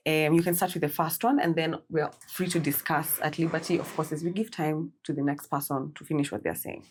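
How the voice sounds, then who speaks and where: Host, woman, 30s, low voice, easygoing and guiding. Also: steady tone, fairly quick, slight background noise. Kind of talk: casual chat.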